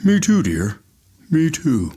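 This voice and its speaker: A man's voice: a little high, huge pitch swings, slow pace, slightly rough, heavily acted. A man voicing a massive, furry mammoth monster who is sweet, nervous and polite in an audio drama. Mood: kindly, encouraging. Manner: polite, gentle, apologetic.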